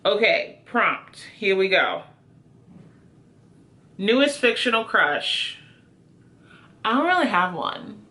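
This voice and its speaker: A woman's voice: mid-range voice, very animated, slow, long pauses, sharp tone. A woman talking casually to viewers as a book reviewer, chatty and informal with a self-deprecating way. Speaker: book reviewer video host. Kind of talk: casual chat to camera, answering prompts.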